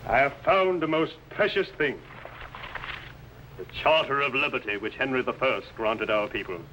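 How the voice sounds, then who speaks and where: An actor playing a resolute, solemn medieval archbishop, with formal, declamatory speech in 1950s television drama style. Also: male voice, medium pitch, normal speed, bright, forceful tone, natural voice. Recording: faintly noisy old TV soundtrack.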